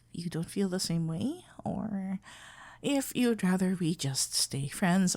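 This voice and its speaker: Woman acting as smitten florist best friend, tender, nervous, slow pace, medium pitch, hesitant stammer, romantic ASMR roleplay. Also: full character voice. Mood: nervous, anxious. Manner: hesitant, stammering, halting, with whispered breathy moments.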